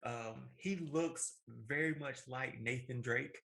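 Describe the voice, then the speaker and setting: Bright-voiced man in casual chat. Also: medium pitch, slow pace, gravelly. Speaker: co-host of a movie talk show.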